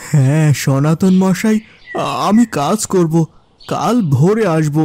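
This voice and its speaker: A man's voice: a little high, lively intonation, takes it slowly, darkish tone. A man voicing a poor, anxious old father in a cartoon folk tale, humble and beseeching. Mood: meek. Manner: deferential, beseeching. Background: music.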